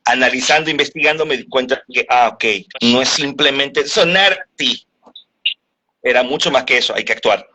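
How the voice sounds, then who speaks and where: A man with a bright, forceful voice and a slightly husky edge talks through the craft of dubbing in a relaxed interview. He thinks aloud, restarting his phrases as he builds an explanation. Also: mid-range voice, moderate pace. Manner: reflective, explains at length with restarts. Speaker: voice actor and dubbing teacher. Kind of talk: casual interview talk.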